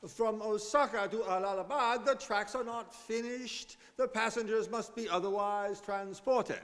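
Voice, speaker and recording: A man's voice: sits high, measured pace, fairly bright tone. A man playing a hesitant, deferential railway conductor in an old-time radio drama. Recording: long hall reverb on stage voices.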